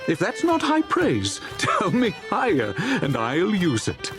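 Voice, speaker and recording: A man's voice: high voice, wildly swooping pitch, takes it slowly. A man narrating a dramatized storybook in a theatrical, jovial manner. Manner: theatrical, jovial, relishing lists. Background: music.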